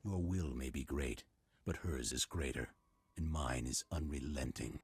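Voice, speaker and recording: Very deep, husky old Jedi master. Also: male voice, darkish tone, no echo, full character voice. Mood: solemn, unyielding. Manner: measured, formal, solemn. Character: old Jedi master, stern, calm and unyielding. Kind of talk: video game voice acting.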